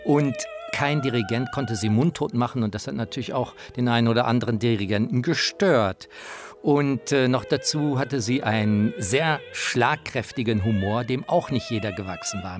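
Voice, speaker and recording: A man talking about opera in an emphatic, reflective way, as the author and presenter of a radio feature. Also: medium pitch. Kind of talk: personal spoken commentary on opera. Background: opera music.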